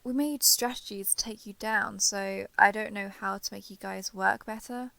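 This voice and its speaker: Shy, guarded villainess, acted by a woman, crisp and close. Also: medium pitch, fairly even intonation, sharp tone, dry recording. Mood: reticent, awkward. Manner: hesitant, halting, reserved, understated. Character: shy, guarded young female villain turned reluctant ally. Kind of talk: roleplay audio drama, intimate one-sided dialogue.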